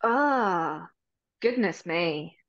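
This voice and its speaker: Bubbly woman with a lively, bouncing voice. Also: on the low side, very slow, no room sound, dull audio. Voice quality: loud voice. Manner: bubbly, laughs often, animated retelling. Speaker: ring announcer. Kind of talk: casual interview chat.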